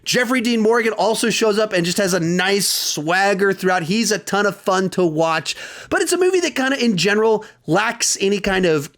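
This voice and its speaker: A man in his thirties hosting a ranked movie review, talking in a lively way with sudden jumps in pitch for emphasis. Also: normal speed.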